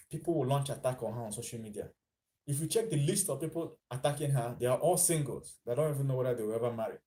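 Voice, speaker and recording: A man's voice: mid-range voice, mellow. A Nigerian man giving casual vlog commentary, chatty and dropping into Pidgin as he talks. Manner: chatty, mixes in Pidgin, laughs at his own lines. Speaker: vlogger.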